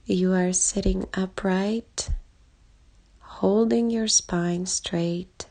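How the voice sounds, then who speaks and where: A woman leading a guided body scan meditation in a calm, soothing way, speaking in short soft phrases with long pauses and a breathy edge. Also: medium pitch. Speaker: meditation guide, life coach. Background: background music.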